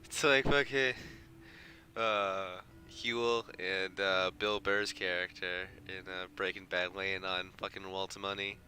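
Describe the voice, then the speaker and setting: Slow-talking sweary man streaming a game. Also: low-pitched, steady tone, pushed and bright, a little hiss or hum. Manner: sweary, offhand. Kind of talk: casual livestream commentary.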